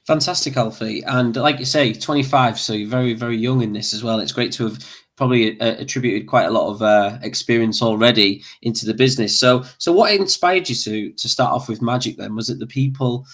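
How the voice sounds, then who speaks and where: A man's voice: medium pitch, brisk, fairly bright tone. Northern English male podcast host. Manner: friendly, encouraging interviewer. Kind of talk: podcast interview hosting.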